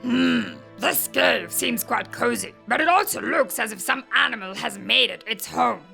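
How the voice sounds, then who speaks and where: A woman voicing a lazy, self-pitying male lion with a rough, growly voice in a children's animated story. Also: a little high, moderate pace, soft background music, exaggerated voice. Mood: weary, grumbling. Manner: grumbling, hesitant mutter that swells to blustering.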